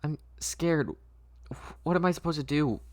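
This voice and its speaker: A man's voice: on the high side, measured pace, darkish tone, pitched above the performer's own voice, close to an everyday voice. A man voicing a frightened, anxious young woman, hesitant and stammering in a dramatic script reading. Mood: frightened, panicked. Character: frightened, anxious young woman patient being turned into doll.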